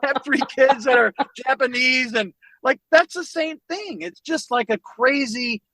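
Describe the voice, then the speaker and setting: A man in his forties from the American Midwest, a podcast guest telling family stories in an animated, loose way, swearing freely and laughing as he talks. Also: extremely high pitch, measured pace, no room sound. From United States, Northwest Indiana. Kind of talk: casual storytelling conversation.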